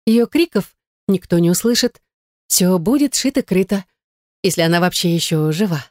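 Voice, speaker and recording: A woman's voice: on the low side, animated, moderate pace, darkish tone, no echo, clean studio sound, natural voice. A woman narrator voicing an obsessive, jealous woman in love, emotional and insistent in an audiobook drama. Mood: scheming, cajoling.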